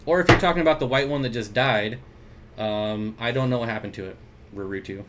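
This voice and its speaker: A man's voice: medium pitch, a little fast, fairly bright tone, a little hiss or hum. Chatty man hosting a fish livestream. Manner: chatty, reads viewer comments aloud, jokey asides. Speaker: aquarium livestream host. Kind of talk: casual livestream chat reading comments. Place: home room with aquariums. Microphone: desk mic at computer.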